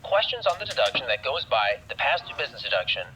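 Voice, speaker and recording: A man presenting scripted explainer narration with a brisk, lively reading. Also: mid-range voice, dull audio, slight background noise.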